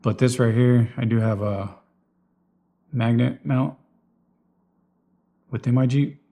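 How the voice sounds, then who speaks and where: A man's voice: mid-range voice, steady tone, takes it slowly, mellow. A man giving a casual, unscripted walkthrough of his gear in a plain, explanatory way, like a vlogger. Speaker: vlogger presenting personal radio gear.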